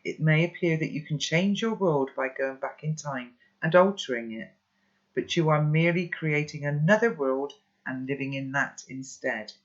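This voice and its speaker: A reader voicing a calm, wise elderly grandfather who speaks slowly and thoughtfully, in a slightly muffled recording. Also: mid-range voice, close to an everyday voice. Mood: calm, gently wise. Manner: slow, measured, philosophical.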